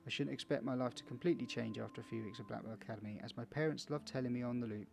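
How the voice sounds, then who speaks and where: A man's voice: medium pitch, fairly even intonation, racing, vocal fry, a little mellow. A man playing a video game for viewers, casually reading its text aloud with small stumbles and chatty asides. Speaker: video game let's player.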